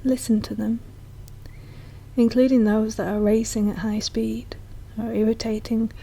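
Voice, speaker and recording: Soft, breathy woman guiding a meditation. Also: fairly high pitch, little pitch movement. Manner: soft, slow, soothing delivery. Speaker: meditation guide. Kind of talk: guided meditation narration.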